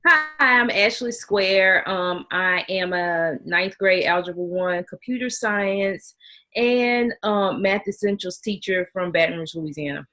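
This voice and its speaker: A woman, a high school math teacher, introducing herself on a video call in a matter-of-fact way with brief answers. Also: unhurried, sharp tone.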